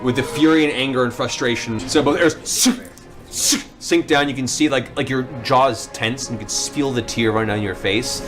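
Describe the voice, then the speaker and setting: A man's voice: mid-range voice, moderate pace. A man running a tabletop fantasy game as game master, narrating in a descriptive, dramatic storytelling way. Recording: music under the voice.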